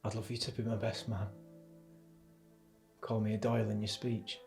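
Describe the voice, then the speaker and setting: Low gravelly young guy in a film, quiet and wistful, missing his childhood mate, music behind. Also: flat delivery, moderate pace. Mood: wistful, grieving. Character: grown man quietly mourning his childhood best friend. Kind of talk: film acting, intimate monologue.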